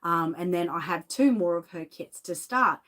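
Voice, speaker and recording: A woman's voice: fairly low pitch, moderate pace, fairly bright tone. An Australian woman with a lingering cough chatting casually on a livestream, greeting viewers by name from her home studio. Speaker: reborn doll artist and shop owner.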